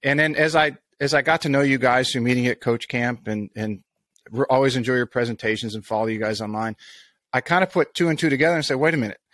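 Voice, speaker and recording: A man's voice: medium pitch, fast, a bit bright, dry recording. A man hosting a golf podcast, talking in long rambling sentences with many restarts and asides. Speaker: podcast host. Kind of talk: casual interview chat.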